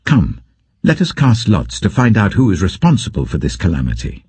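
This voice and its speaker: A man's voice: on the low side, wildly swooping pitch, moderate pace, darkish tone, slightly rough, dry recording, voice pushed up, heavily acted. A man with a British accent voicing frightened sailors in a scripture audiobook, urgently interrogating a stranger. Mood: anxious, demanding.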